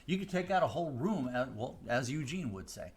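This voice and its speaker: A man from the Chicago area, a mentalist hosting a live stream, chatting casually with viewers on a close studio mic, rambling with fillers and restarts but slowing down with deliberate pauses. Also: mid-range voice, very animated, slightly rough. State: voice deeper than usual today. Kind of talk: casual chat answering viewer questions. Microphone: close studio microphone.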